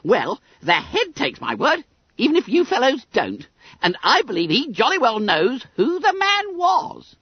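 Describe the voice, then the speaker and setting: A squeaky, trilling fat schoolboy, full of pompous glee. The voice sits very high and swoops about in storybook style, on a dull recording. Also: wildly swooping pitch, measured pace, breathy edge, no echo, heavily acted. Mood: boastful. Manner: pompous, gleeful, muddles long words. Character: boastful, fat, bespectacled schoolboy, smug and indignant.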